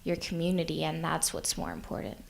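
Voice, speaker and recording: A woman's voice: deep voice, very animated, brisk, gravelly, very noisy. Earnest eighteen-year-old woman panelist. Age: teens. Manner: earnest, personal, with light laughs. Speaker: youth activist panelist. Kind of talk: panel discussion answer. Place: conference hall stage.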